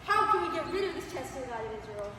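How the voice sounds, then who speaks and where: A woman's voice: high voice, very animated, racing, a crowd in the background. A woman giving an emphatic, theatrical dramatized recitation of scripture, declaiming it like a storyteller on stage. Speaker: performer in a dramatized reading ensemble. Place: large reverberant performance hall, live recording. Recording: echoey noisy hall, far from the mic.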